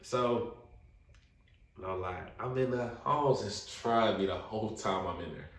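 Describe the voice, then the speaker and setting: A young man, telling a story in casual slangy podcast talk and acting out quoted lines. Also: unhurried. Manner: animated, slangy, acts out quotes in a high voice. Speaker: podcast guest. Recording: roomy echo, distant mic.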